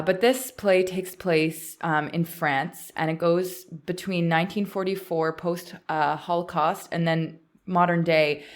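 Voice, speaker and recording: A woman with a Canadian accent, chatting casually as a podcast co-host. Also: fairly low pitch.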